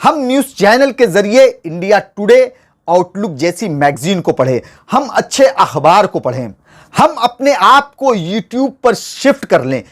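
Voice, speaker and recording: Guy doing scripted news commentary, really animated up and down voice, earnest n speechy. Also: sits high, unhurried. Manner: earnest, rhetorical, formal oratorical phrasing. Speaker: host of a political commentary show.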